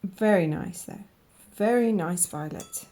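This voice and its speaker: A woman with a croaky voice from a cold, slowly musing aloud in a soft-spoken way as she tries out paints, sometimes dropping to a whisper. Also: lowish voice. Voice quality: croaky, gravelly. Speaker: art vlogger. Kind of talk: casual voice-over while swatching paints.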